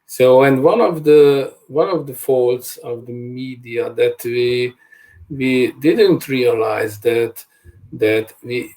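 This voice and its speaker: Hungarian-accented male journalist, unhurried and deliberate, panel guest. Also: mid-range voice, dark tone, low-quality recording. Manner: slow, deliberate, pausing mid-sentence. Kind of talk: panel discussion. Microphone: remote video call.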